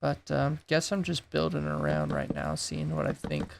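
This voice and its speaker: A man with a raspy voice that is going hoarse, thinking aloud in a laid-back way while he builds in a game. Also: extremely low pitch, sing-song, moderate pace, background noise. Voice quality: gravelly, raspy. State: losing his voice. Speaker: gaming YouTuber. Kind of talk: casual gameplay commentary.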